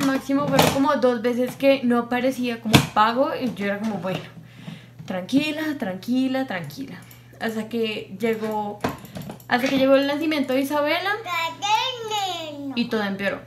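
A young woman tells a story from a small room at home. Her fairly high voice stays lively, and she acts out the lines she quotes. A faint hiss sits under the recording. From Colombia. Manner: animated, acts out quotes, gestures a lot. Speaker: vlogger. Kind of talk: storytime chat on camera.

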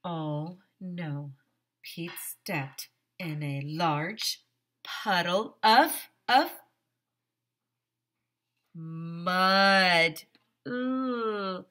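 A woman in her thirties, a teacher, reading a picture book aloud to young children in a theatrical, exaggerated, sing-song manner with drawn-out words. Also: fairly low pitch, fairly bright tone. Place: small decorated room, indoors. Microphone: headset.

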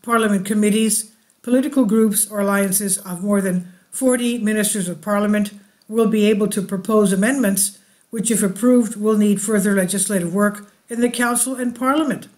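A woman hosting her own channel, reading a translated news article aloud slowly and deliberately, with asides to her viewers. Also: mid-range voice, fairly even intonation, darkish tone.

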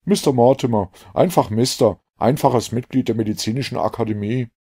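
A man voicing an eager, talkative young gentleman scientist in an audiobook, effusive and fussily precise. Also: mid-range voice, a little fast, slightly rough, natural voice. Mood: earnest.

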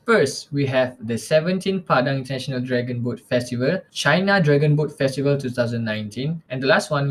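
A young student from Malaysia walks through his slides, reading off categories in an even, mid-pitched voice. He picks up speed through lists of names, recorded on a laptop webcam in a small room. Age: young adult. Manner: reads lists steadily, rushes through names. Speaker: student presenter. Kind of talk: class presentation over slides.

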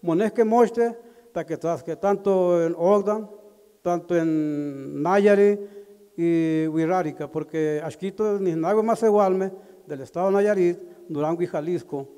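A middle-aged man addressing a community meeting through a handheld microphone, speaking haltingly with pauses and fillers. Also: sits high, warm tone. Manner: halting, with pauses and fillers, switching between languages. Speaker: community member at a consultation. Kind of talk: public address. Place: large indoor community hall. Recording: echoey hall over a PA.

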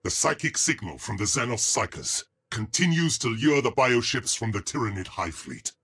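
An actor playing a stern, solemn space marine commander in a video game cutscene, with a husky voice and grave, formal, commanding delivery. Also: male voice, medium pitch, sing-song, normal speed, a bit bright, exaggerated voice. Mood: grave, resolute.

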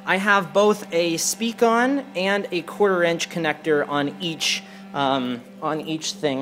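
A man presenting equipment in an informal hands-on walkthrough, speaking hesitantly and pausing mid-sentence. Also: fairly high pitch, fairly bright tone. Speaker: presenter demonstrating church sound equipment. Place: carpeted indoor room. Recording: clear background noise, handheld camera mic.

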